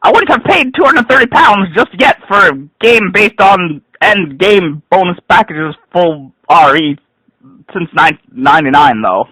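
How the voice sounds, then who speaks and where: A man performs a dramatic reading of a written rant with theatrical, overwrought intensity. His voice sits high and has a forceful, husky edge. The sound is close and dry. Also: lively intonation, a little slow, no echo, low-quality recording. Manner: theatrical, overwrought delivery swinging from hushed to shouted.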